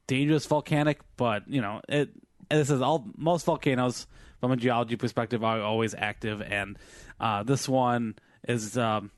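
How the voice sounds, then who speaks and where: A man co-hosting a casual podcast, his voice slightly hoarse from a night of singing. He rambles through news stories with plenty of ums, likes and restarts. The sound is dry and close, with no room around him. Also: medium pitch, fairly even intonation, moderate pace, dry recording. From Filipino family background. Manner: rambling, many fillers and restarts. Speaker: podcast co-host. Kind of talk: casual chat reading news.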